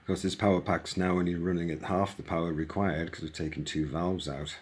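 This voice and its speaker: Very low-voiced man explaining casually. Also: little pitch movement, brisk. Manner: informal, thinking aloud. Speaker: hobbyist presenter demonstrating a modified radio.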